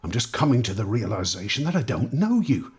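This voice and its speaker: An actor playing a suspicious, sarcastic man in an audio drama, swearing and interrogating in a rough, husky voice. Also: lowish voice, big pitch swings, fairly quick, full character voice. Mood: suspicious, accusing. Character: suspicious, angry time-travelling man, sarcastic and accusing. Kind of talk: naturalistic audio drama acting.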